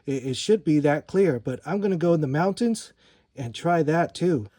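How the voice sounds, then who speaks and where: A man's voice: on the high side, lively intonation, moderate pace, warm tone. A man giving a casual gear review in an informal, explanatory way, as a vlogging product reviewer.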